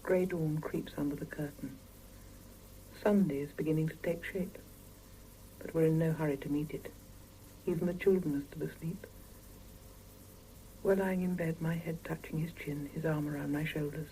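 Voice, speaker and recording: A woman's voice: low voice, steady tone, normal speed, pauses often, dark tone, natural voice, rough recording. An actress playing a weary Soviet wife and mother in a 1970s radio drama. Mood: reflective, intimate. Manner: intimate, reflective when narrating, exasperated with family. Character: weary Soviet working wife and mother narrating her week. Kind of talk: 1970s radio drama acting and first-person narration. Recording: hissy 1975 mono radio cassette copy.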